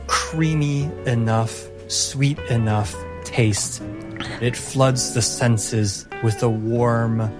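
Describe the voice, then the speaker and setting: A man narrating a tabletop game as game master, lingering dramatically on descriptive words, with music underneath. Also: mid-range voice.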